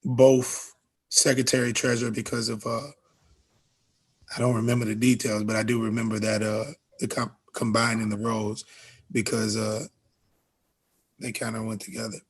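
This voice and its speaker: A man chairing a formal meeting over a video call, speaking in a halting, procedural way. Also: fairly low pitch, little pitch movement, slightly rough, poor audio. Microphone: video call microphone.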